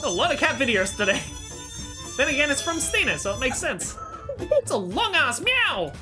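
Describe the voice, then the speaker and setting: A woman's voice: high voice, big pitch swings, normal speed, music in the background. A young woman chatting casually as she reacts to clips, rambling and restarting, breaking into surprised exclamations. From Australia.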